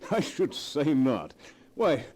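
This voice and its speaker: Suave, scheming millionaire, mellow and animated. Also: male voice, medium pitch, takes it slowly, slightly rough, heavily acted. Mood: pleased, suave. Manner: suave, commanding, theatrical. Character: ruthless scheming millionaire fugitive, suave and coldly calculating. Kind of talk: 1940s radio drama acting. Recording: vintage 1940s radio recording.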